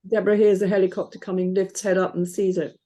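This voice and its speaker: A woman's voice: mid-range voice, little pitch movement, quick pace, warm tone. A woman reading out stage directions as scripted narration.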